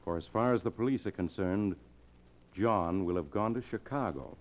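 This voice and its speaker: An actor playing a cold, controlling younger man who plots murder, in the deliberate, insistent style of 1940s radio drama. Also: sits low, close to an everyday voice. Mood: coolly scheming. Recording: dull old radio broadcast recording.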